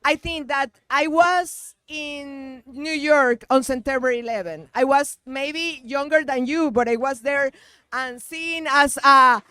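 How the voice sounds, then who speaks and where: A woman with a Latin American Spanish accent argues in a heated street debate. Her voice sits high and her pace is slow, with many halts and repeated words while she hunts for the English. The sound is close and dry. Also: sharp tone, no echo. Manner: halting, searching for words, repeats herself.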